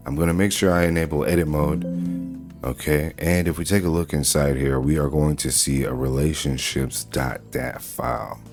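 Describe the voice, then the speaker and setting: Man, extremely low voice, casual tutorial host, informal step-by-step narration, music in the background. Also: normal speed. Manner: informal, talks through each step as they go. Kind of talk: casual screen-recorded software walkthrough.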